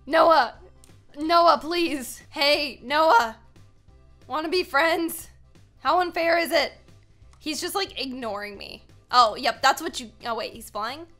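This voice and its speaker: Bright, high-voiced young American woman gamer. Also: takes it slowly, faint music behind. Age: 20s. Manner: animated, playfully dramatic. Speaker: gaming video host. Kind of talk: excitable gameplay commentary with casual chat to viewers. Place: small home room. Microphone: desk microphone, close.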